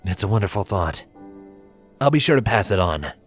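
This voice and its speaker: A man's voice: lowish voice, lively intonation, normal speed, soft background music, close to an everyday voice. A man voicing a kindly, reassuring male pony in a fan fiction story reading. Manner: reassuring, casual. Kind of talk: fan fiction audiobook character voice.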